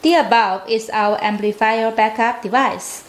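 A woman's voice: on the high side, steady tone, measured pace. A woman reading a scripted product demonstration in a careful, slightly stilted manner. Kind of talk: scripted product demo narration. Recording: roomy echo, faint background noise.

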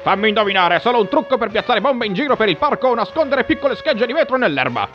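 A man's voice: high voice, big pitch swings, quick pace, faint music behind. A man playing a pompous, exasperated caped superhero, sarcastic and indignant, in a comedic cartoon parody dub. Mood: suspicious. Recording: slightly dull band-limited sound.

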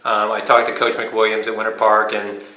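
Bright, forceful man in an echoey room. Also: on the low side, monotone, moderate pace. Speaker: high school cross country coach. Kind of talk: interview, informal talk. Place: small bare indoor room.

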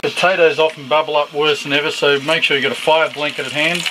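A man's voice: on the high side, fairly even intonation, quick pace. A middle-aged man giving casual, matter-of-fact cooking instructions in a small boat galley. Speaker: co-host doing the frying. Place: small sailboat galley indoors. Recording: clear frying and boat noise behind.

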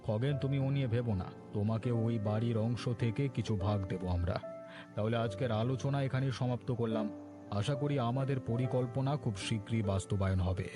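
A man voicing a scheming middle-aged former village clerk in a cartoon, with a deliberate, falsely reassuring manner. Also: medium pitch, music in the background, lightly acted. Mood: conspiratorial. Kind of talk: Bengali cartoon voice acting.

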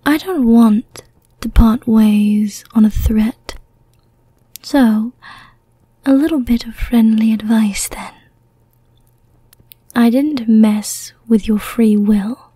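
A breathy, seductive female vampire, acted by a woman for an ASMR roleplay. She speaks slowly and softly, in a dark, whispery tone. She is teasing and menacing, with a merciful streak, and sounds very close and dry. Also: fairly high pitch, no room sound, voice pushed down, full character voice. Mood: softly warning. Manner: slow, teasing, deliberate.

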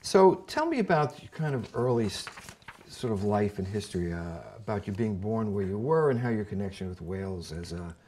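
An older man hosting a community TV interview show, asking questions haltingly and searching for his words. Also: lowish voice, animated, a little mellow, a little hiss or hum. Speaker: host. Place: dark television studio.

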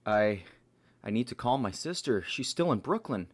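Casting: male actor as a worried family man, medium-pitched voice, anxious, horror comic dub. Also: very animated, normal speed. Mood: anxious, pleading. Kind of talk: amateur comic dub acting.

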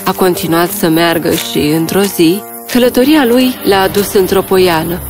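A woman's voice: fairly low pitch, music in the background. A woman reading scripted fairy tale narration as a storyteller.

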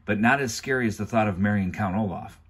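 A man reading aloud and voicing an inventive, resourceful teenage girl in a soft, raised voice. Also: lowish voice, quick pace, slightly rough. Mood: anxious.

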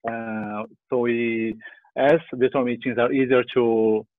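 A man's voice: mid-range voice, little pitch movement, slow pace, no echo. Brazilian-accented man on a thin, dry line. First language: Portuguese. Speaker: sketching group organiser. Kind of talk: informal report on a group video call. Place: room at home. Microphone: headset on a video call. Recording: dry, thin phone-like call audio.

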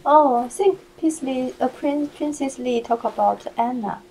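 A woman's voice: sits high, normal speed, creaky, over a noisy crowd, rough recording. A Chinese-speaking woman practicing English in a lesson, hesitant with broken grammar. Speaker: English learner. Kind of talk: casual role-play lesson.

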